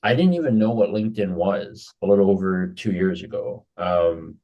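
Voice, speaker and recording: A man's voice: low-pitched, normal speed, highs cut off. A man from Hawaii, a guest on a business podcast, talking casually and often restarting his sentences. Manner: conversational, repeats words when restarting. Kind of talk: casual interview chat.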